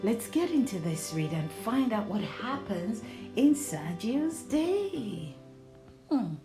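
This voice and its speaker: A woman's voice: a little high, sing-song, slow, music in the background. A woman storyteller reading a children's book aloud, addressing young listeners affectionately.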